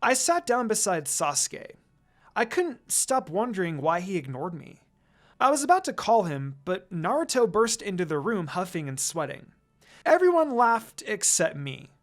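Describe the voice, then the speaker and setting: A fanfiction reader voicing a lazy, stubborn young girl ninja in a higher voice than their own. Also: big pitch swings, moderate pace, natural voice. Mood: eager. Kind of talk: fanfiction read aloud.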